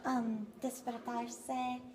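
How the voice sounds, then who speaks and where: A young woman interviewing in halting Spanish, hesitant with many ums. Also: on the high side, level tone, crowd noise. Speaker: interviewer, student.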